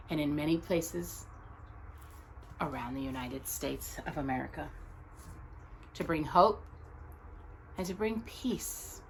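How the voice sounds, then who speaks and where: A woman reading aloud as a storytime host, speaking earnestly and deliberately to young viewers, phrase by phrase. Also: low voice, slight background noise, poor audio.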